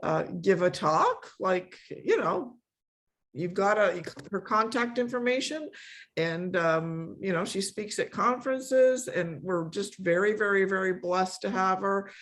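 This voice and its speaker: A woman's voice: medium pitch, a bit bright. A middle-aged woman from a Polish immigrant family, hosting an online meetup in a casual, effusive, emphatic way. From born in Poland, grew up in a Polish immigrant family. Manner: effusive, emphatic repetition, quick to laugh. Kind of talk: casual chat, thanking a guest.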